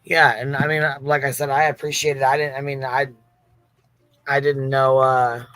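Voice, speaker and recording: Bright, flat-toned host, blunt and sweary. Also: male voice, medium pitch, moderate pace, no room sound. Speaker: host of an open-panel livestream. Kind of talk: casual livestream chat.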